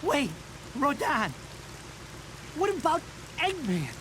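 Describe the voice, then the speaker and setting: A man's voice: very high-pitched, wildly swooping pitch, slow pace, background noise, full character voice. A man playing a whiny, foul-mouthed, panicky small-time informant with a New York accent in a video game cutscene. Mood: panicked, whining. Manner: bellyaching, complaining loudly. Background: loud music.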